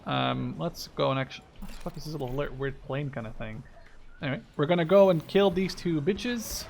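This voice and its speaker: A man's voice: fairly high pitch, a little fast, gravelly, darkish tone, a little hiss or hum. A man in his twenties from the Netherlands giving informal, sweary gameplay commentary, thinking aloud as he plays. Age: 20s. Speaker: gaming video creator.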